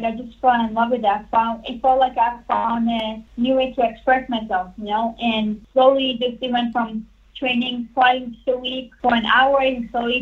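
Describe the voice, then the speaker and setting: A young woman from Singapore and Israel, a basketball player guest, answering interview questions over a call in an earnest, quick way with many fillers. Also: on the high side. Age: young adult. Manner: earnest, fast-talking with fillers and restarts. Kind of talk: phone interview. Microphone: phone call. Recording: tinny phone line from far off.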